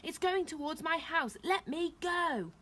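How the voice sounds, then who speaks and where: A woman's voice: very high voice, animated, unhurried, sharp tone, pitched above the performer's own voice, exaggerated voice. A woman voicing an earnest storybook boy who reasons and pleads urgently. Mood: desperate.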